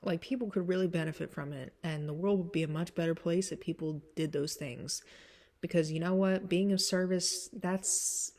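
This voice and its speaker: A woman's voice: fairly even intonation, moderate pace, darkish tone. A young woman with a stuffy, congested voice, chatting casually and rambling through an introduction before singing a cover song. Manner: rambling, many fillers, swings up high when emphatic. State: stuffy nose from sinus trouble.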